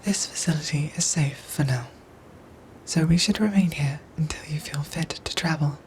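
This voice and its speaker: A woman playing a protective android soldier in a whispered ASMR roleplay, speaking in soft, breathy, formal and precise phrases. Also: sits low, normal speed, slight background noise, close to an everyday voice, low-quality recording. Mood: gentle, protective.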